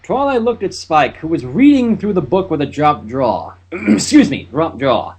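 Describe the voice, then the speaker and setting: A man reading fan fiction aloud in an expressive, giggly way, with character voices and asides. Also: medium pitch, normal speed, slightly rough. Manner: expressive, giggly, stumbles and breaks off.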